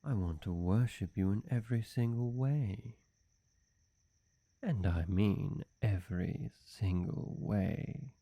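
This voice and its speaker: A man plays a devoted demon servant with a dark, mellow, slightly gravelly voice. He is suave and flirtatious, fawning over his mistress in formal, silky phrases, and he takes his time in this intimate roleplay monologue. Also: on the low side, takes it slowly, no echo. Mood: flirty, adoring. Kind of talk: scripted roleplay monologue addressed to the listener.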